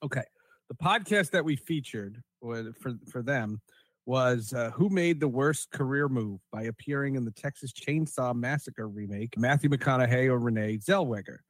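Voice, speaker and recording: Guy hosting a podcast, regular pitch, really dry sound, casual. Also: moderate pace, no echo, poor audio. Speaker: podcast host.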